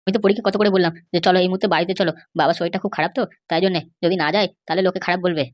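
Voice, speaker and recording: A woman's voice: fairly low pitch, steady tone, very fast, dry recording, natural voice. A woman voicing a dutiful, bewildered married young son in a comedy cartoon, earnest and questioning.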